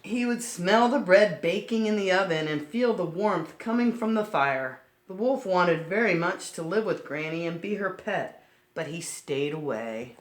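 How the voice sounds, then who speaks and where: Female storytime reader, lowish pitch with lively swings, speaking to young children in an echoey room. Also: unhurried. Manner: simple, child-directed explaining. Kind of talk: expressive picture-book read-aloud with asides to children. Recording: roomy, echoing sound.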